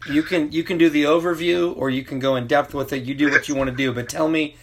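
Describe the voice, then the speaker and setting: A man's voice: on the high side, steady tone, fast, a bit bright, slight background noise. A man interviewing a guest casually, asking loose questions with restarts. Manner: informal, loose questioning with repeated starts.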